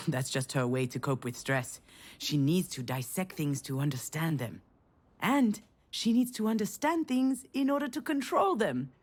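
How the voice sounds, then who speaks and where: A guarded young woman in a visual novel game. Her voice sits a little low and swings widely in pitch, with a dry, sardonic, confiding delivery. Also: lively intonation, a little slow, a little mellow. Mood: sardonic musing. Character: guarded, wry young woman, a failed screenwriter. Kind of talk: visual novel voice acting with inner monologue.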